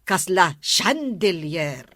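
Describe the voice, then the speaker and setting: A woman acting an unhurried village neighbour in an Ilocano comedy drama, with an Ilocano accent. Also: lowish voice, very animated, a bit bright, dry recording, studio quality, exaggerated voice. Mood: pleased.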